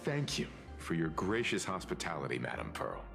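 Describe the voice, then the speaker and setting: An actor playing a courteous, grave gentleman traveler in a video game, polite and deliberate. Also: very deep voice, very animated, creaky, over music, heavily acted. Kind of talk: scripted game character dialogue.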